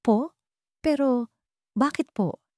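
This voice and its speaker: A woman voicing a meek, hurt daughter-in-law in a narrated story, speaking softly, politely and hesitantly. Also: sits high, takes it slowly, no echo, clean studio sound, voice pushed up. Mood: hurt, pleading. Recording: music behind the voice.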